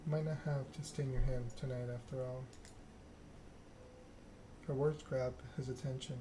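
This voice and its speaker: A man reads a narrative game aloud as ASMR, hushed and measured. His pitch sits in the middle and moves very little. There is clear noise behind him. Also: fairly even intonation. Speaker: video game player reading the story aloud. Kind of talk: ASMR game read-along, narration with dialogue.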